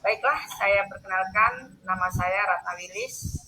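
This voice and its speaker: Female principal, fifties, bright sharp tone, polite and hesitant, informal speech over a video call. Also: medium pitch, unhurried, slight background noise, low-quality recording. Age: 50s. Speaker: school principal and training mentor. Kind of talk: informal self-introduction.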